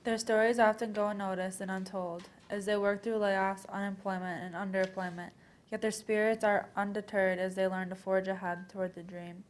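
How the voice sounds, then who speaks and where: A woman's voice: medium pitch, little pitch movement, a little fast, slight background noise, natural voice. A young woman performing a scripted readers theater piece, reading aloud with occasional stumbles. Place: college library event. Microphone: worn microphone.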